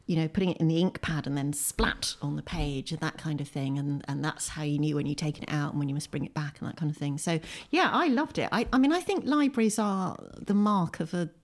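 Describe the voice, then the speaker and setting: A woman's voice: low voice, brisk, few pauses. A middle-aged English woman, a writer, chatting informally and enthusiastically as an interview guest at home. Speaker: poet and writer, podcast guest. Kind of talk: conversational interview. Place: at home, indoors.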